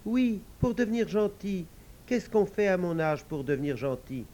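A man's voice: high voice, big pitch swings, normal speed, mellow, voice pushed up. A man voicing a small, polite young male devil, earnest and timid. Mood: earnest pleading.

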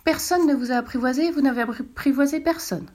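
Brief: woman playing a wistful little boy prince, raised bright childlike pitch, mellow tone. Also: brisk, close to an everyday voice. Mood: earnest. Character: gentle earnest small boy prince, wistful.

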